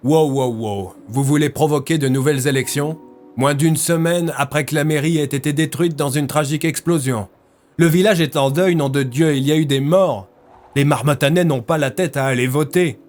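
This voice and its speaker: A man's voice: a little high, moderate pace, a little mellow, faint music behind, close to an everyday voice. Man as a sardonic, blunt police inspector. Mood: indignant. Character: sarcastic, distrustful, blunt male police inspector. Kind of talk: French audio fiction acting.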